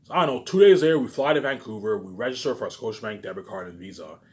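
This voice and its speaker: A man's voice: fairly low pitch, animated, racing, darkish tone. A man delivering a rambling, casual monologue, repeating himself emphatically, swearing and often tacking on questions for agreement. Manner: repetitive, emphatic, swearing, frequent tag questions.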